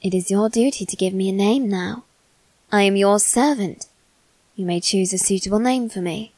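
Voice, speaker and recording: A woman voicing a polite, coaxing young male demon in an ASMR roleplay aimed at the listener, speaking softly and courteously. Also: mid-range voice, no echo, lightly acted. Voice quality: soft, measured and courteous.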